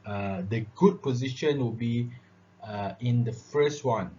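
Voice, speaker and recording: A man gives a public speaking lesson, his phrasing broken up by "uh" and a steady "all right". His voice sits at an ordinary middle pitch. The recording is dull and lo-fi, with a faint hiss underneath. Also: measured pace. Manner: filler-heavy, repeats "all right" and "okay". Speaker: lecturer. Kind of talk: classroom lecture on public speaking.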